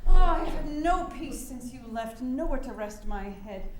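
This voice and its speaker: A woman's voice: high-pitched, animated, moderate pace. A woman playing a passionate, headstrong suffrage leader, effusive and emotional, in a staged play reading. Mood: effusive, adoring. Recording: echoey room, faint background noise.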